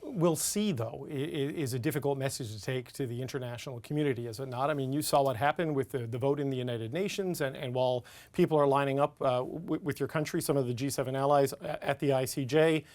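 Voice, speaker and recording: A man's voice: mid-range voice, fast talker, few pauses, darkish tone. A man hosting a political TV interview, pressing with questions and stumbling over restarts. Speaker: TV news host.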